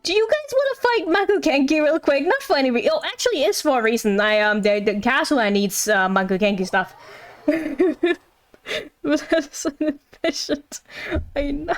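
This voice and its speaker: A woman's voice: high-pitched, expressive, moderate pace. A woman chatting casually on a game livestream, rambling and thinking aloud in a playful, giggly, self-deprecating way.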